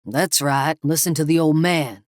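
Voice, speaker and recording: A woman voicing a short-tempered male meth cook who yells crude threats. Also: on the high side, measured pace, no room sound, studio quality, lightly acted. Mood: sneering. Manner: yelling, crude, menacing.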